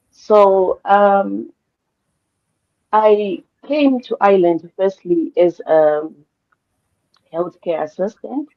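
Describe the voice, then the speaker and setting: A woman's voice: fairly low pitch, no room sound. A woman with a Zimbabwean accent giving a personal testimony as a guest in a live discussion, speaking hesitantly with repeated words, on slightly lo-fi online audio. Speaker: panel guest, digital business owner.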